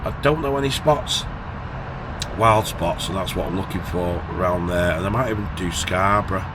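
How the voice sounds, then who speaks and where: A man's voice: deep voice, moderate pace. A man in his late forties with a Northern English accent, rambling informally and thinking aloud as he chats to viewers in an unscripted vlog. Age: late 40s. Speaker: van-life vlogger. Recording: noisy, traffic and vehicle ambience. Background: traffic and vehicle noise.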